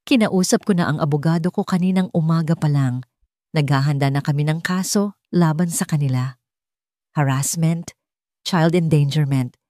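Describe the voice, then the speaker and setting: A woman's voice: low-pitched, moderate pace, warm tone, dry recording, natural voice. Woman acting out protective billionaire businessman, Filipino accent, serious, earnest, resolute, audiobook romance, dry close sound.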